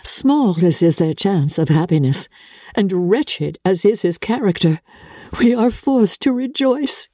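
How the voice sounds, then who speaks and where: A woman voicing a spirited, earnest young gentlewoman in a dramatic reading of a classic novel, with emphatic, formal period speech. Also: mid-range voice, animated, a little slow, dry recording, studio quality, voice pushed up. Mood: bitter dismay.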